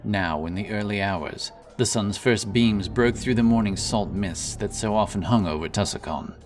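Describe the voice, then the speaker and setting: Deep-voiced, solemn male fantasy narrator. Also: normal speed. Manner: solemn storytelling. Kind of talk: dramatic scripted fantasy narration. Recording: music under the narration.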